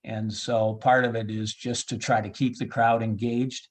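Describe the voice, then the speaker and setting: A man who works as a baseball PA announcer, chatting casually as an interview guest, often halting as he thinks aloud. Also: fairly low pitch, level tone.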